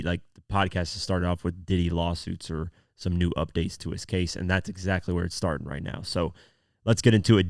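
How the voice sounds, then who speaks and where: A man's voice: low-pitched, darkish tone, dry recording, studio quality. A man hosting a podcast, giving casual, conversational news commentary with a voice slightly off from a sore throat. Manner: informal, conversational, reads articles aloud then comments. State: sore throat, voice not at full strength. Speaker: podcast host.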